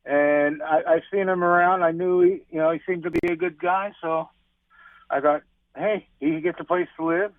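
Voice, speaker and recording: A man phones in to a radio show and rambles on, dropping in "you know" again and again. His slightly higher voice sounds dry and thin over a tinny phone line. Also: steady tone, normal speed, no echo. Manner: rambling, full of you-knows. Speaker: caller.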